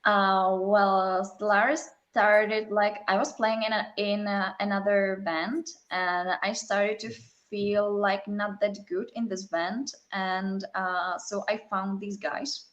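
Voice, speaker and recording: A young woman, a metal band singer, talking casually in a live chat interview, with many fillers and halting non-native phrasing. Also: medium pitch, flat delivery, a bit bright, lo-fi sound.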